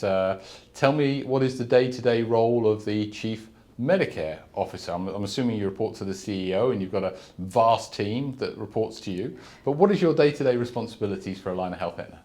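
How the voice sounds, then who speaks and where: A man's voice: lowish voice, fairly quick, darkish tone. A man hosting a business interview series, asking long rambling questions with restarts and backing the guest with frequent mm-hmms. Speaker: interview host, company CEO.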